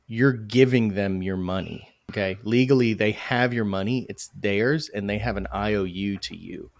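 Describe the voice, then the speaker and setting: A man's voice: fairly low pitch, normal speed, vocal fry, dry recording, clean studio sound. A man, a crypto project founder, speaking emphatically and animatedly in a podcast interview, often correcting himself mid-sentence.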